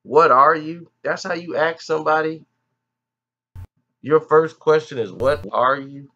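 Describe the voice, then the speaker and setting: A man's voice: fairly high pitch, a little slow, bright, forceful tone, muffled recording. A man giving indignant, emphatic commentary on an interview clip. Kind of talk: reaction commentary.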